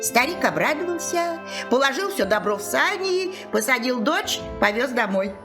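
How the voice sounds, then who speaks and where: A woman storyteller giving an expressive scripted fairy-tale narration. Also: high-pitched, moderate pace. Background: music.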